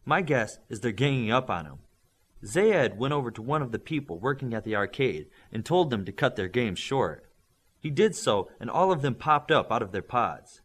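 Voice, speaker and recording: A man's voice: mid-range voice, moderate pace, breathy edge. A man reading a novel aloud as audiobook narrator, voicing the characters. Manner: expressive, varied voices. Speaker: audiobook reader.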